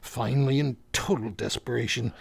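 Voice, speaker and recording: An actor playing a genial, courtly undertaker with morbid wit, in the formal, drawn-out style of 1940s radio comedy. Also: male voice, mid-range voice, vocal fry. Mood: mournfully droll. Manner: formal, unhurried, drawn-out delivery with morbid puns.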